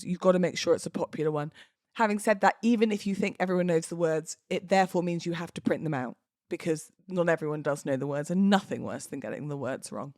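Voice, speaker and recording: A woman's voice: fairly low pitch, dry recording. Chatty British woman hosting a podcast, dry sound. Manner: chatty, informal, cheeky, expressive. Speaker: podcast host. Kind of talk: casual solo podcast chat.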